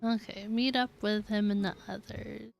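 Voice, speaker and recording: A woman streamer chats casually while playing a game. Her voice sits at a middle pitch, with faint game music behind her. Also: steady tone, moderate pace, creaky, dull audio. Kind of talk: casual livestream chat and reactions.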